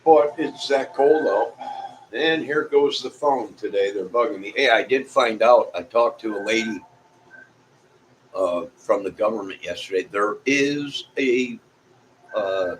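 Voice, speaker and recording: A man's voice: lowish voice, measured pace, poor audio. A middle-aged or older man with an American Midwestern accent, chatting to viewers in a folksy, meandering, casual way. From Illinois, United States. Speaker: vlogger. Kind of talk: rambling casual chat to viewers. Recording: clear background noise.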